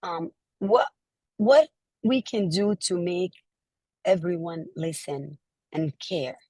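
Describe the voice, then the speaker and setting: A woman's voice: on the low side, lively intonation, takes it slowly, dark tone, no echo. A woman hosting a conversational interview in an encouraging, reassuring manner. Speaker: show host, interviewer.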